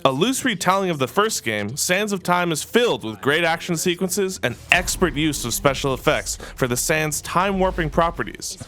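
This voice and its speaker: Male entertainment narrator, scripted review read, pitched a little high, slight background noise. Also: expressive, moderate pace, hardly any pauses, pushed and bright. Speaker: video narrator, film list presenter.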